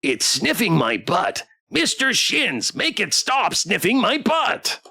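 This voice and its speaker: A man's voice: high-pitched, wildly swooping pitch, takes it slowly, vocal fry, pushed and bright, breathy edge, voice pushed up, heavily acted. A man voicing a chirpy, needy robotic plush pet companion in a visual novel, with perky, sing-song delivery. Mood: whiny.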